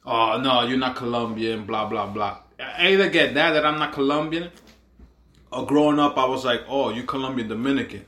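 A man who grew up in Washington Heights, New York, co-hosting a casual podcast chat, animated and joking with frequent laughs. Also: medium pitch, normal speed, echoey room. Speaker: podcast co-host. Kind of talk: casual chat over drinks.

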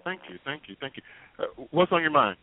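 A man's voice: fairly high pitch, expressive, a little fast, no room sound. A man casually hosting a live call-in talk show over a conference call. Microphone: phone conference call. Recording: noisy, narrow phone-like sound with clicks.

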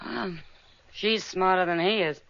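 A woman's voice: lowish voice, steady tone, measured pace, gravelly, fairly bright tone. A woman playing a shrewd, candid frontier woman with an American accent and a knowing manner, in an old radio Western. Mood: candid, concerned. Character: shrewd, worldly frontier woman, the marshal's frank friend. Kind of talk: 1950s radio Western acting. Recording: dull, hissy old radio recording.

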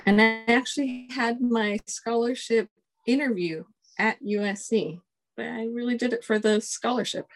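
A woman in her forties whose first language is Spanish, a colonel telling stories in a casual interview, relaxed and chuckling often. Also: on the high side, normal speed, rough recording. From United States. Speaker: guest, Air Force colonel.

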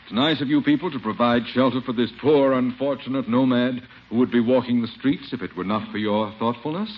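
An actor playing a pompous, florid and overly courteous gentleman houseguest in a 1950s radio comedy. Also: mid-range voice, fairly quick, natural voice. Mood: grandly gracious. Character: grandly courteous, self-pitying gentleman neighbor and houseguest. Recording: muffled 1950s radio recording, faint noise.

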